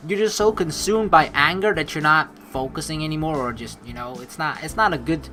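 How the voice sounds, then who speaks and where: A let's play host giving rambling, excitable commentary on a story game and reading its text aloud. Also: medium pitch, a little fast, slight background noise. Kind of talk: game playthrough commentary with dramatic read-aloud. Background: game music.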